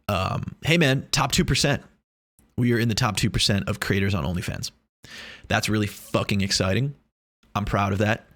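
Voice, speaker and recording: A man's voice: fairly low pitch, fairly bright tone, dry recording. Cocky, joking young man, dry close sound. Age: 20s. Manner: joking, boastful, swears a lot. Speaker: vlogger. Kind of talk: casual rambling monologue. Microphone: lav or shotgun mic.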